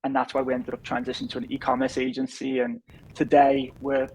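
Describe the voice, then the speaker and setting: Flat-toned Liverpool man, easygoing. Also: mid-range voice, a little fast, a little hiss or hum, poor audio. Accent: Liverpool, softened. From England. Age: 30s. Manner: easygoing, rambling with many fillers. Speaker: podcast guest, business founder. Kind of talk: casual interview chat.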